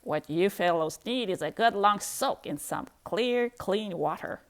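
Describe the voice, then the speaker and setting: A know-it-all male barnyard duck as put on by a woman reader. He is blunt and bossy, declaring his advice forcefully at an unhurried pace. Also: mid-range voice, lively intonation. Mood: advising.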